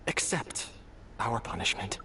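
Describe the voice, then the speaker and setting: Slow, remorseful young man. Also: fairly low pitch, big pitch swings, noisy background. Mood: remorseful, resigned. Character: remorseful, resigned young male subordinate facing punishment. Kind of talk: video game voice acting.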